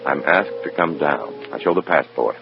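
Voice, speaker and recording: An actor playing a weary, conscience-torn American undercover agent, narrating in a brooding, clipped hard-boiled style of old radio drama. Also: male voice, very deep voice, moderate pace, gravelly. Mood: narrating grimly. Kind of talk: mid-century radio drama acting, first-person narration. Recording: muffled old radio recording with music.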